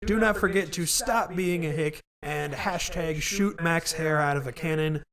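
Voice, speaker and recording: A man co-hosting a podcast in relaxed, casual chat, with a high-pitched voice for a man and a dry, sarcastic humor. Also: a little slow, a crowd in the background. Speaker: podcast co-host.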